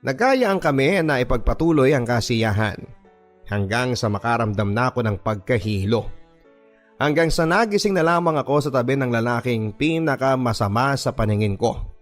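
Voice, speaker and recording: A man reading a listener's letter aloud as a radio drama narration, telling the story in first person in an earnest, measured way. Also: medium pitch, animated. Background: faint background music.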